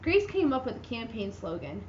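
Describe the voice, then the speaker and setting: A high-voiced woman reads a children's picture book aloud with lively expression, lifting into bright voices for the characters. The recording is a little dull and lo-fi, with a faint hiss underneath. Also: moderate pace, reverberant. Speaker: reader. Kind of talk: dramatic reading of a children's book.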